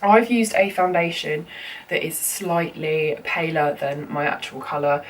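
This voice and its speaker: A woman's voice: deep voice, unhurried. Stuffy-nosed woman chatting on camera. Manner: chatty, frequent ums and you-knows. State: congested, bunged up from a cold. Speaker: makeup vlogger. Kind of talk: casual makeup tutorial. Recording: slightly distant mic with faint room noise.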